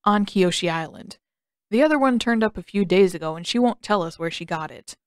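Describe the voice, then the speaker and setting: A woman's voice: medium pitch, expressive, fairly quick, clear, resonant tone, no room sound, studio quality, natural voice. A woman voicing an eager, cheeky teenage boy, a blunt and pushy brother, in an audio story. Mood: accusing.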